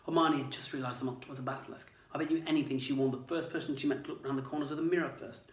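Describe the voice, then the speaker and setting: A man voicing an earnest, quick-thinking teenage schoolboy who talks urgently and eagerly. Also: mid-range voice, fairly even intonation, close to an everyday voice. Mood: excited. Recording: roomy, distant mic.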